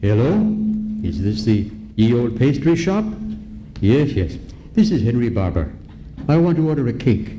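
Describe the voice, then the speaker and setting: A man's voice: medium pitch, very animated, normal speed, lo-fi sound, loud music behind the voice, lightly acted. An actor playing a fussy, indignant elderly man in an old-time radio comedy, talking in a pompous, sputtering, old-fashioned way. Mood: fussy, insistent. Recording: noisy live ballroom stage recording.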